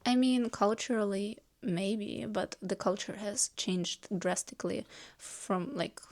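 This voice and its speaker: A woman's voice: medium pitch, slow, darkish tone. A woman chatting casually with friends, reassuring them and explaining at length, with a lot of "like" fillers. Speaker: friend giving feedback. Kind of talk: casual chat among friends.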